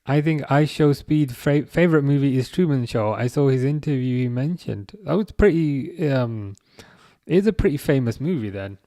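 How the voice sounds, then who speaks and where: A man hosting a live watch-along stream, chatting casually with viewers in a relaxed, hesitant way with plenty of fillers. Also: medium pitch, normal speed, no room sound. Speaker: livestream host reading chat.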